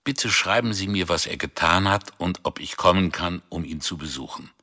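An actor playing an old father stiffly reading out his own formal card in a radio drama, with a husky voice. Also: deep voice, normal speed, bright, forceful tone. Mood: formal, earnest.

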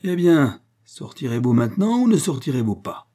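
A man's voice: expressive, moderate pace, mellow. A man voicing a drunken, rowdy rich man who is insolent, mocking and overbearing in a storybook reading. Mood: gleeful, taunting. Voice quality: big muffled bass in the story, boisterous. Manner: insolent, bullying, familiar.

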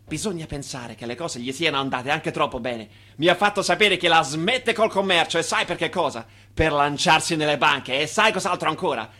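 A man's voice: fairly high pitch, bright, forceful tone. A man playing a chummy but condescending cigar-smoking patron in a radio play, smug and chatty. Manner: patronizing, chatty, prodding with questions.

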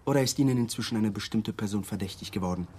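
A man's voice: on the low side, fast talker, a little mellow, breathy edge. An actor playing an insistent police lieutenant in a radio crime drama, polite but needling and insinuating with his questions. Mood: probing, insinuating.

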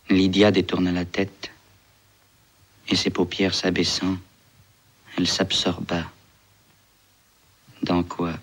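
A man's voice: low-pitched, a bit bright, exaggerated voice. A man reading literary prose as a restrained narrator in a radio play.

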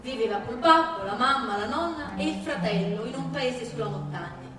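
Echoey high-voiced woman reading theatrically. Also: normal speed. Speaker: stage actress reading. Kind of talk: theatrical scripted narration, reading-concert. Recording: echoey hall from far off, music behind.

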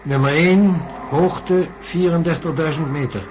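An actor playing a professor in a radio drama, asking formal, probing questions. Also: male voice, a little high, a little slow, noisy background, lightly acted. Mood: skeptical. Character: skeptical, questioning male professor.

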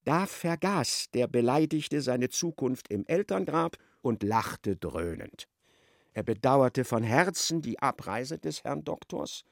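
An actor narrating a literary radio play as a wry, expressive storyteller. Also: male voice, medium pitch, slow, gravelly, no echo, studio quality.

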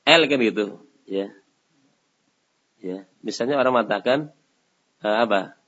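A man's voice: fairly low pitch, a little slow, fairly bright tone. A man teaching a religious study session, answering a question and posing rhetorical questions for his listeners to complete. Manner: asks rhetorical questions and lets the audience finish them. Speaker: Islamic teacher. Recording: dull lo-fi lecture recording.

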